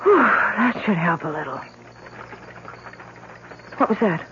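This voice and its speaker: Anguished, stubborn young woman, radio mystery. Highly animated, bright and emotional, on a dull, noisy recording. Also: slow. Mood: weary, then terrified. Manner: emotional, dramatic. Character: anguished, stubborn young woman grieving her dead sister. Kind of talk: old-time radio mystery drama acting.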